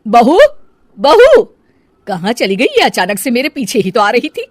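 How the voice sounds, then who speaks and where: A woman voicing a bossy middle-aged mother-in-law in a cartoon story, loud, questioning and scolding. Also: on the high side, huge pitch swings, a little slow, dry recording, clean studio sound, full character voice. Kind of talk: Hindi cartoon moral story.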